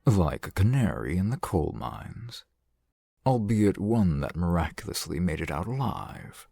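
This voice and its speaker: Casting: actor for suave male shopkeeper and fence, fantasy ASMR roleplay. Deep, gravelly, measured, wry, recorded close and dry. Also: sing-song, dark tone, no room sound, heavily acted. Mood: sly, businesslike. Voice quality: gravelly, husky. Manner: measured, formal, wry, silky wording. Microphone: close microphone, dry sound.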